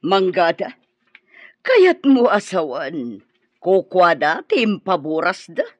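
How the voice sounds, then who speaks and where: A woman's voice: on the low side, big pitch swings, slow, dry recording, heavily acted. An actress playing a sceptical, blunt, inquisitive older woman in an Ilocano radio drama. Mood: puzzled, dismissive.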